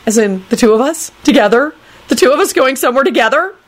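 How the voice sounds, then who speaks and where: Woman acting a whiny, high-pitched, panicky young man. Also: lively intonation, fast talker. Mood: panicked. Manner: spluttering, stammering, high-pitched squeaks.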